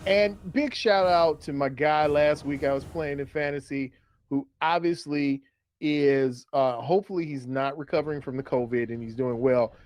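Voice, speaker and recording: A man's voice: fairly high pitch, normal speed, a little mellow. A man co-hosting a casual sports show, bantering playfully and repeating phrases for emphasis.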